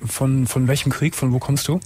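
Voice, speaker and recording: A man hosting a late-night radio call-in show, asking a caller calm, probing questions. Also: mid-range voice, normal speed, breathy edge. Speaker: radio talk show host.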